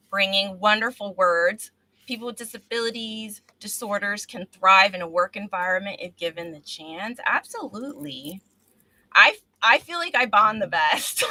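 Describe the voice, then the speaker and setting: A woman's voice: medium pitch, sharp tone, no echo, poor audio. A woman hosting a casual live-streamed interview, speaking in an encouraging, affirming manner. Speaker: talk show host.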